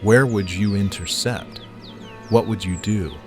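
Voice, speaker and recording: Man playing a low-voiced, earnest devoted lover. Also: measured pace, a little mellow, soft background music, close to an everyday voice. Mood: worried. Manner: earnest, pleading. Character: concerned loving young man, Aaron, gentle and earnest. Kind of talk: dramatic audio drama acting.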